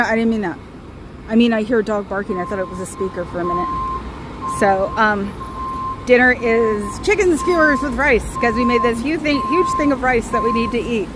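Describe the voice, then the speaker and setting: A woman with a high, piercing voice chats through a casual livestream, rambling from thought to thought and needling her listeners with sarcastic questions. She is recorded outdoors by a street, with loud traffic and passing cars heard behind her. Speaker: livestream host.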